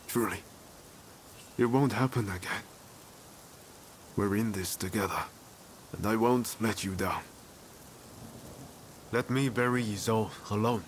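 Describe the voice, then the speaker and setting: An actor playing a determined, idealistic young samurai in a video game cutscene, speaking in an earnest, conversational and persuasive way. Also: male voice, lowish voice, measured pace, pauses often, a little hiss or hum, lightly acted. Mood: subdued, apologetic.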